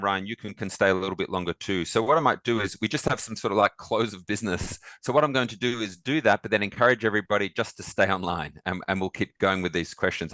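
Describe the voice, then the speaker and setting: Deep-voiced male moderator. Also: fairly bright tone, dry recording. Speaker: host, moderator. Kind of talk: moderating an online question-and-answer session. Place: online video call.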